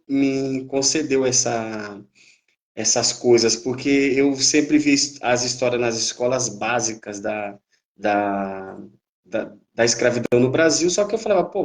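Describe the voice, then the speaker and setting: A man's voice: medium pitch, measured pace. Hesitant Brazilian man in his thirties. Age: 30s. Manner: hesitant, many fillers and restarts, uneven pace. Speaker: capoeira instructor, guest. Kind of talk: live-stream interview.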